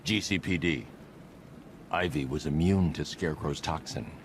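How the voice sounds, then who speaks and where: An extremely low, grim vigilante in a video game. He is brooding and stern and talks in terse commands, with faint ambience behind him. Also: normal speed, background noise. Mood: terse, commanding. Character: grim, brooding adult male vigilante hero. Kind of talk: video game cutscene acting.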